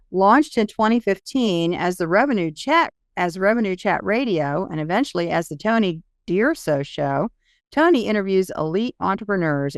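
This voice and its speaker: A woman's voice: fairly low pitch, clear, resonant tone, dry recording, clean studio sound. A woman hosting a podcast, introducing and interviewing a guest.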